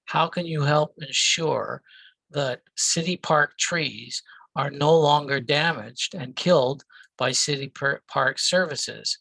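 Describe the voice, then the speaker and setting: A Canadian man moderates a candidates' debate, reading audience questions and passing the turn with short, plain handoffs. His voice is bright with a gravelly edge. The sound is dry, with little room around it. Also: mid-range voice, no echo, poor audio.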